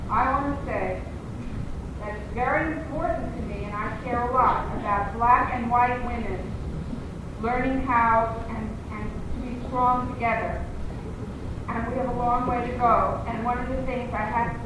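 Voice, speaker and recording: A woman speaks up at a conference in an earnest, reflective way, with a fairly high voice. She is offering impromptu personal remarks. The recording is distant and very echoey, with clear background noise. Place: large echoey conference room. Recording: echoey hall, distant mic, clearly noisy.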